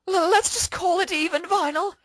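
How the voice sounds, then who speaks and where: A woman's voice: very high-pitched, steady tone, normal speed, dry recording, clean studio sound. A woman voicing a flustered, nervous young female pony musician in a storybook reading, stammering and squealing in protest. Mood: flustered pleading.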